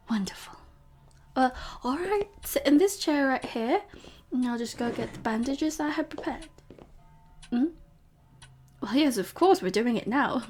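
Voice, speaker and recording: A woman's voice: on the high side, a little mellow. A woman playing a possessive, teasing vampire professor in an intimate audio roleplay, coaxing the listener in murmurs that often drop toward a whisper.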